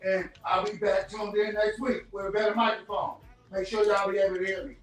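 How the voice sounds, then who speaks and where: A man's voice: high voice, little pitch movement, brisk. A man joining a podcast as a guest over a poor, distant microphone, apologetic about being hard to hear. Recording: distant roomy mic with crowd noise.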